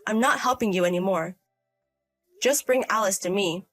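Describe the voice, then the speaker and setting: A woman's voice: medium pitch, moderate pace, a bit bright, faint music behind, lightly acted. Woman acting a stern, exasperated older mother. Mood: scolding, exasperated. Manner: scolding, firm, questioning. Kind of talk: acted dialogue in a narrated drama story.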